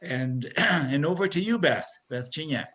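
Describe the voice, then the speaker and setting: A man's voice: fairly high pitch, animated, measured pace, a bit bright. A man moderating a forum panel, introducing each speaker in a loose, rambling way with many restarts.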